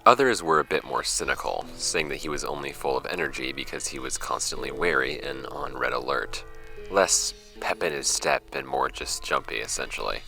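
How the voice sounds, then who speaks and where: A casual, introspective podcast host in an audio drama. His voice is extremely low with plenty of fry, yet bright and forward. He speaks in a dry, conversational way over faint music. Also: moderate pace, heavily acted. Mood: measured storytelling. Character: young male amateur podcaster, casual, wry, introspective.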